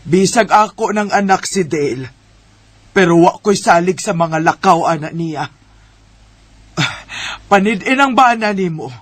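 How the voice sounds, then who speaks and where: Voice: medium pitch, expressive, unhurried, no room sound. An actor with a Cebuano Bisaya accent playing a blunt, suspicious, meddling older parent in a radio drama. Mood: distrustful. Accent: Cebuano Bisaya Filipino.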